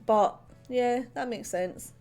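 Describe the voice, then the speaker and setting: A woman's voice: a little high, slow, warm tone. A woman from Scotland with a central belt Scottish accent, chatting casually on a livestream at her desk, rambling with lots of fillers and laughs. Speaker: streamer. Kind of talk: casual livestream chat while drawing. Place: home desk. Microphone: desk microphone. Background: faint background music.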